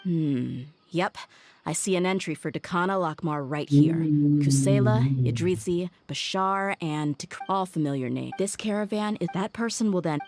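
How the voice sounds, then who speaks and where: A woman's voice: low-pitched, moderate pace, a little mellow. An actress playing a tough, cool-headed young mercenary woman in a video game, confident, blunt and sardonic. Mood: pensive. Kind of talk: English video game voice acting. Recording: music behind the voice.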